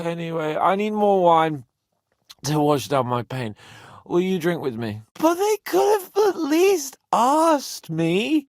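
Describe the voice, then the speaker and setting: An actor voicing a brash, teasing young medieval nobleman in a video game, proud, hot-headed and sardonic, with an expressive delivery. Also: high-pitched, dry recording, studio quality, full character voice. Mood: drunken self-pity. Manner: cocky, sardonic, swearing, expressive. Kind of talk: video game voice acting, dramatic dialogue.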